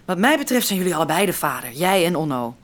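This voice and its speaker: Expressive young woman, tender yet resolute. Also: on the low side, fast. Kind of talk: radio drama acting. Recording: faint background ambience.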